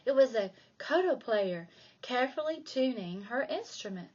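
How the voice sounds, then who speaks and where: A woman reading a children's storybook aloud like a primary school reader, with dramatic emphasis and drawn-out key words. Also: a little high, lively intonation, muffled recording. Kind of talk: expressive children's storybook narration.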